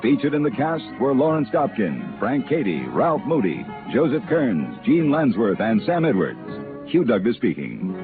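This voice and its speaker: A male radio announcer reads in vintage broadcast style, his mid-range voice swinging widely in pitch. Music plays clearly behind him on a muffled, narrow old recording. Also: huge pitch swings, moderate pace.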